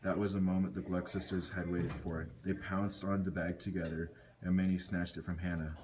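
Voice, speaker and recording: A man's voice: low voice, flat delivery, fairly quick. A man reading scripted storybook narration for an amateur puppet show. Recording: noisy amateur recording with clicks.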